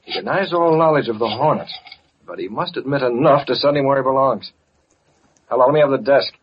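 An actor playing a masked vigilante and newspaper boss, commanding and assured, in an old radio drama. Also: male voice, mid-range voice, brisk, slightly rough, close to an everyday voice. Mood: brisk, satisfied. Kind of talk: 1940s radio acting. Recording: muffled vintage radio recording.

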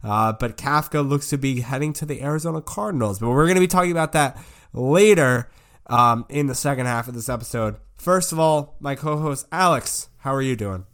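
Young male podcast host, congested from a cold, smooth resonant voice, rambling casual delivery. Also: medium pitch, fairly quick, fairly bright tone. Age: young adult. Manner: rambling, filler-heavy. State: stuffy nose from a cold. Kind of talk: casual sports podcast chat.

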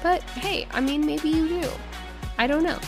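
A fairly high-voiced woman hosts a relaxed podcast, chatting in an upbeat, rambling way. Her nose sounds a bit stuffed up from a recent flu, and soft music plays quietly underneath. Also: moderate pace. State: stuffy-nosed, getting over flu. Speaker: podcast host. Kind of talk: casual podcast chat.

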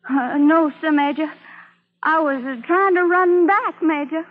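A woman's voice: high-pitched, a little slow. A woman in a vintage radio drama playing a nervous, deferential young runaway boy who stammers in folksy dialect. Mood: anxious, placating. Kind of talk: 1950s radio drama acting. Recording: muffled old radio broadcast.

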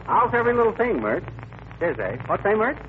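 An actor playing a blustery, wisecracking middle-aged husband with folksy, ungrammatical talk, in 1940s radio comedy style. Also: male voice, sits high, sing-song, fairly quick. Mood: chatty, wisecracking. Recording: noisy, dull old radio recording.

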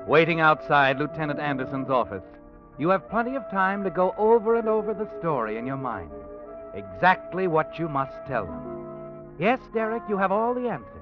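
Sardonic radio mystery narrator, swooping pitch. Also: male voice. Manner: sardonic, knowing, addresses the character as you. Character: male mysterious omniscient narrator taunting a guilty man. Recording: muffled vintage radio recording. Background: dramatic music.

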